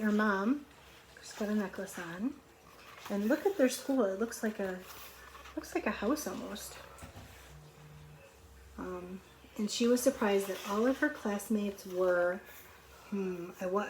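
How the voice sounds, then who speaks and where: A woman's voice: medium pitch, unhurried, pauses often, darkish tone, a little hiss or hum, poor audio. A woman teacher reading a storybook aloud to young children, expressively, with conversational asides and questions.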